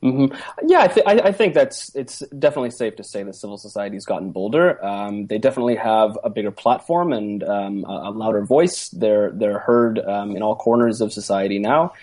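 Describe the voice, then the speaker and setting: A man speaking as an interview guest, hesitant and reflective, full of pauses and restarts. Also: fairly low pitch, a little mellow. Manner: hesitant, many ums and restarts. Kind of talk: conversational interview.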